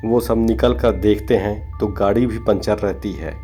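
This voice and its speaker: A man's voice: on the low side, steady tone, a little slow, mellow, soft background music, close to an everyday voice. A man voicing a practical, insistent husband in a cartoon horror story. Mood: puzzled.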